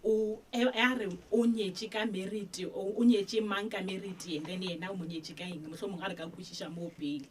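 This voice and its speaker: Fast-talking South African woman explaining law. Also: medium pitch, steady tone, darkish tone, a little hiss or hum. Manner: measured explanation with hesitations. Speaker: legal expert guest, estate controller.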